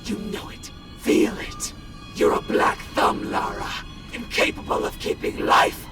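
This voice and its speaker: A man voicing a cruel, accusing spirit in a video game nightmare, goading and hissing. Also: lightly acted. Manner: slow, cruel, goading, swinging from hiss to shriek. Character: menacing, accusing tormenting spirit in a nightmare vision. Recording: echoing, distant, loud music behind.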